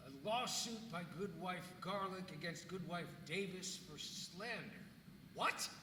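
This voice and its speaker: Man acting a high-voiced, exasperated colonial husband. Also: unhurried, sharp tone. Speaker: stage actor. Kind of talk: live dramatic reading of a play. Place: live reading in a church venue. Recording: echoey hall, distant mic, faint noise.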